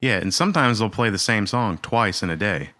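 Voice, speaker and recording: A man's voice: on the low side, animated, a little fast, sharp tone, no echo. A man co-hosting a casual podcast, easygoing and jokey in his asides. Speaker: podcast co-host. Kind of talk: casual chat.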